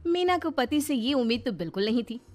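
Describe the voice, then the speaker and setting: Brief: female storyteller, highish voice, expressive scripted narration for a moral tale, light music underneath. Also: fairly quick.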